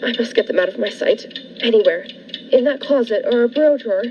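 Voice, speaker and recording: A woman's voice: fairly high pitch, quick pace, a crowd in the background, lightly acted. A woman playing a frightened, fretful captive in a 1940s-style radio thriller, stammering and pleading in melodramatic fashion. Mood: frantic dread. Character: frightened, fretful woman trapped by a menacing man. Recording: dull lo-fi radio play recording with music.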